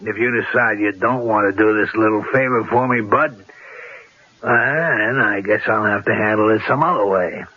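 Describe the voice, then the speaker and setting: An actor playing a menacing, mocking crime boss in a radio drama, with rough street-tough, colloquial diction. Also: male voice, lowish voice, moderate pace. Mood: cold, commanding. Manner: threatening, taunting, colloquial. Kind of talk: 1970s radio drama acting. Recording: muffled old radio drama broadcast.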